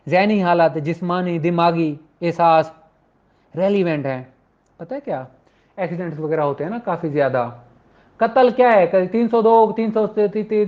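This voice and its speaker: A man with a Pakistani accent giving an informal law lecture in a conversational, questioning way. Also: high-pitched. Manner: conversational, poses rhetorical questions, acts out courtroom exchanges; switches between Urdu and slowly read English legal text. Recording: dull, lo-fi muffled audio.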